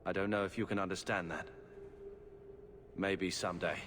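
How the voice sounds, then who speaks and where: An actor playing a proud, battle-worn young warrior in a fantasy video game, dry and sardonic. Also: male voice, low-pitched, fairly even intonation, moderate pace, close to an everyday voice. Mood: weary, bitter. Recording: echoing reverb with background noise.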